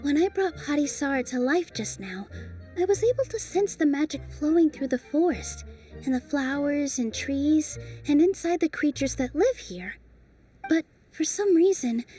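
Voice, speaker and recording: A young woman voicing a gentle, hopeful dancer who works magic, speaking softly and earnestly in a game cutscene. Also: high-pitched, expressive, normal speed. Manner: soft, earnest, encouraging. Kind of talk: video game cutscene dubbing. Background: faint game music.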